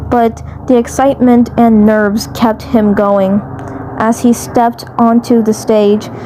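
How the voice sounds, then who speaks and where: A woman reading fan fiction aloud as narrator, halting and repeating phrases as she goes. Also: on the high side, dark tone, slight background noise, low-quality recording. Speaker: fandub narrator.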